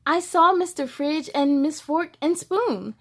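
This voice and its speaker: A woman's voice: high voice, takes it slowly, fairly bright tone. A woman reading a children's picture book aloud expressively, with casual wondering asides for young listeners.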